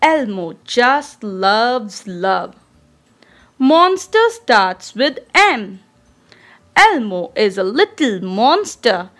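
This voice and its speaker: A woman reading a children's storybook aloud in an expressive, deliberate, child-directed way. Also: fairly high pitch. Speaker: storybook reader.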